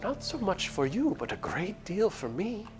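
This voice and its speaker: A woman's voice: mid-range voice, big pitch swings, normal speed, over music, voice pushed up, lightly acted. A woman voicing an eager, wistful spirit of light from a fantasy game, speaking in grand, formal, archaic phrases with emphasis. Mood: earnest, regretful. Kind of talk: theatrical read-aloud of a fantasy game character.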